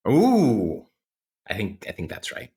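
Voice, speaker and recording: A man's voice: lowish voice, huge pitch swings, takes it slowly, mellow. A man hosting a casual, intellectual podcast interview, with academic vocabulary and a playfully mock-formal manner. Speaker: podcast host.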